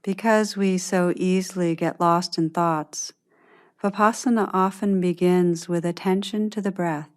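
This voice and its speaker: A woman leads a guided meditation in a somewhat low voice. She speaks very slowly and soothingly, leaving long pauses between gentle, measured instructions. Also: fairly even intonation. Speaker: meditation teacher guiding a practice.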